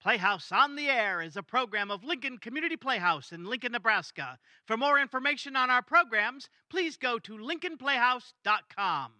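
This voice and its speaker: A man's voice: very high-pitched, lively intonation, moderate pace, hardly any pauses, sharp tone, no room sound. A man reading a scripted radio announcement as program announcer.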